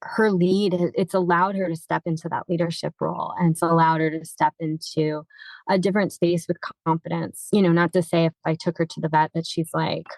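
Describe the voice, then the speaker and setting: A woman host with a deep, monotone voice talks haltingly in a podcast chat and searches for her words. The sound is dry and close, with no room echo. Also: creaky. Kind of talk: casual podcast conversation.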